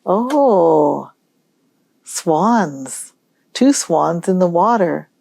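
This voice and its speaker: A woman hosting a storytime for young children, reading aloud in a gentle, musing way and pausing to pose questions. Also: on the low side, animated, vocal fry, no echo. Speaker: children's library storytime host.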